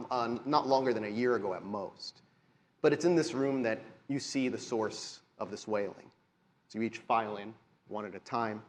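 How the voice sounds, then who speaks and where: A man game-mastering a live tabletop game, narrating in deliberate, dramatic phrases. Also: medium pitch. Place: live convention stage show.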